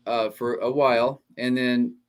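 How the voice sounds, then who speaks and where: A male host leading a casual conversation, easygoing and agreeable. He fills his sentences with ums and little affirmations, at a middle pitch. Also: clear, resonant tone. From southern California. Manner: relaxed, many fillers and quick agreements. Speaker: show host. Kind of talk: casual interview.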